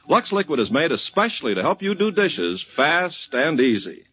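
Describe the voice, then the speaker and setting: A man's voice: a little high, very animated, normal speed, bright, forceful tone. A man announcing a 1950s radio program and reading a sponsor's ad in an emphatic, sing-song salesman delivery. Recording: muffled 1950s radio broadcast with music.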